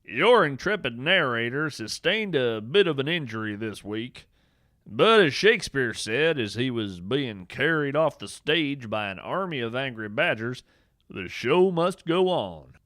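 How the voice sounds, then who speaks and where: A man's voice: mid-range voice, sing-song, a little slow, fairly bright tone, dry recording, studio quality. A man playing a folksy, eccentric naturalist podcast host, delivering a whimsical scripted monologue in a theatrical, wry way. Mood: wry, grumbling. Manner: theatrical, folksy, wry, addresses listeners as friends. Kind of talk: scripted comedic monologue, radio-show storytelling.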